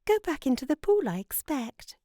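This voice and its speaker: Lady doing a young girl from a kids storybook, high soft-toned voice, dreamy but sensible, earnest. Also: big pitch swings, moderate pace, no room sound, studio quality. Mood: practical, uneasy.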